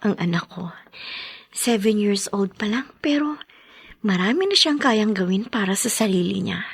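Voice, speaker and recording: A woman with a Filipino accent acting a caring, gentle young mother in a radio drama. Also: medium pitch, lively intonation, normal speed, vocal fry, breathy edge, no room sound, studio quality. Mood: sad.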